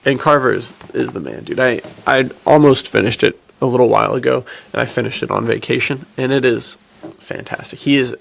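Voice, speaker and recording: A man's voice: mid-range voice, brisk, creaky. A man hosting a podcast, chatting casually about films and books in a slangy, enthusiastic, laid-back buddy style. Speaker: podcast host.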